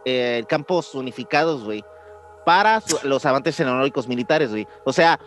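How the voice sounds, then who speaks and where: A man's voice: medium pitch, animated, moderate pace, a bit bright, soft background music. A young man with a Mexican accent co-hosting a podcast, telling a mystery story in casual, slang-filled chat. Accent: Mexican Spanish. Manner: slangy, says "güey" often, acts out voices in quotes. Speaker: podcast co-host.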